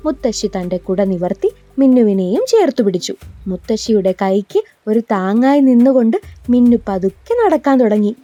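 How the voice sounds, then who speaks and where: A woman's voice: high-pitched, mellow. A woman narrating a scripted bedtime story for children.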